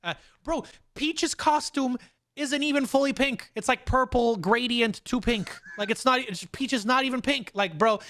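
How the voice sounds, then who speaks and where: A man hosting a casual podcast, talking excitably and repeating phrases for emphasis. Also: very high-pitched, moderate pace, dry recording, clean studio sound. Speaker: podcast host.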